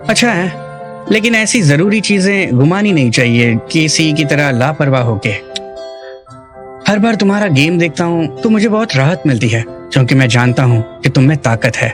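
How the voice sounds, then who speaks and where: A male voice actor dubbing a caring, gently teasing guardian figure in a drama. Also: a little high, big pitch swings, moderate pace, music in the background. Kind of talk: dubbed drama dialogue.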